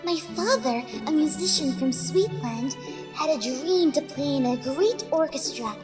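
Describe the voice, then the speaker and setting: A woman playing a timid, gentle young pony filly, a devoted daughter, in an audio drama. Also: sits high, animated, measured pace. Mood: wistful. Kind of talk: audio drama acting and narration. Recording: music under the voice.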